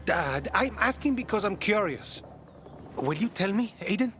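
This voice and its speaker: An actor playing a curious, soft-hearted young son in a video game, speaking earnestly and a little hesitantly. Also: male voice, high-pitched, expressive, measured pace, a little hiss or hum. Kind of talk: naturalistic video game dialogue.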